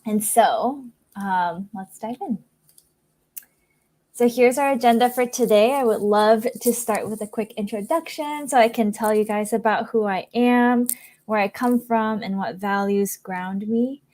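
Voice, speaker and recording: A woman's voice: on the high side, measured pace, a little mellow. A woman from Singapore giving a filmmaking presentation over a video call, upbeat and sprinkled with ums. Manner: upbeat, many ums, talks through slides. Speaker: guest speaker, filmmaker. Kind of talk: presentation to online audience.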